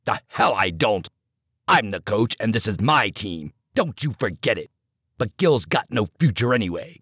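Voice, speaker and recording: A man's voice: fairly low pitch, sing-song, normal speed, a bit bright, breathy edge, no echo, studio quality, pitched below the performer's own voice, heavily acted. A man reading the part of a stubborn male baseball coach, curt and dismissive. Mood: contemptuous.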